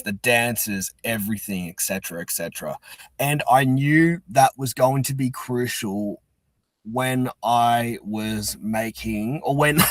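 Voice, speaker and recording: A man's voice: mid-range voice, dry recording. Man, podcast host, casual interview chat, effusive, enthusiastic, close dry sound.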